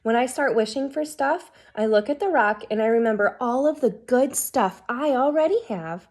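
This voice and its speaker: A woman reading a children's picture book aloud expressively, as a teacher who pauses to put questions to young listeners. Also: fairly high pitch, expressive, darkish tone.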